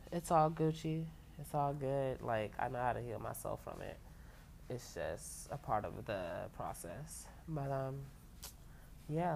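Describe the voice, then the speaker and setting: Very low-voiced woman rambling on her podcast. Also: vocal fry, a little mellow, a little hiss or hum. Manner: rambling, filler-heavy, swears casually. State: stuffy from allergies. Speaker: podcast host. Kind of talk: casual solo podcast chat. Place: home, laundry dryer running. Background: lo-fi music playing under the voice.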